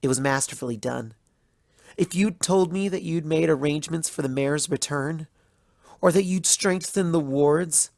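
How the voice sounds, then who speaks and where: A bitter, hurt young pony scholar as a narrator acts her out in a storybook monologue. Her delivery is measured and accusatory, with a creaky edge and a close, dry sound. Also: mid-range voice, a little mellow, dry recording. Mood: aggrieved. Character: betrayed, bitter young female pony student, intellectual and hurt. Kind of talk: dramatic fan fiction reading as first-person monologue.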